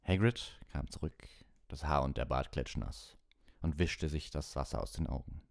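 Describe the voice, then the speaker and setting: A man with a gravelly, very deep voice reading a story as an audiobook narrator. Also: normal speed, no echo, studio quality.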